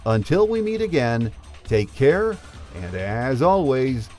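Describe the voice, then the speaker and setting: A man's voice: medium pitch, big pitch swings, slow pace, over music. An older man in his sixties or beyond hosting a sports podcast, moving between chat and scripted game notes. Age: 60s or older.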